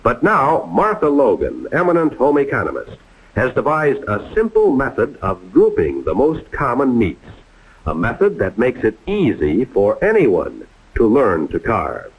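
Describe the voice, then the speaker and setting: Husky, animated male 1950s narrator. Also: medium pitch, a little slow, highs cut off. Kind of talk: 1950s training film scripted narration.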